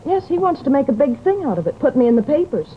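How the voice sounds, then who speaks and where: A woman's voice: high voice, big pitch swings, quick pace. An actress playing a troubled, genteel young lady in old-time radio drama, speaking in a polite, earnest, imploring way. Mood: composed. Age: young adult. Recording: muffled, noisy old radio recording.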